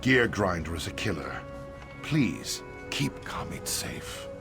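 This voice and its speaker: A man voicing a weary, cautious old survivor in a fantasy video game, speaking gravely and with doubt. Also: low-pitched, sing-song, takes it slowly, heavily acted. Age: older. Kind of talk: AI-voiced fantasy game dialogue. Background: game music.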